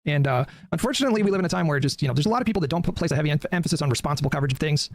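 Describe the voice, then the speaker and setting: A man delivers political commentary at a breakneck pace in a fairly high voice. His voice is going hoarse from a long day of talking, and he fills gaps with ums and uhs. The recording sounds dry and close. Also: expressive, no room sound. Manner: rapid, earnest, many fillers. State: losing his voice.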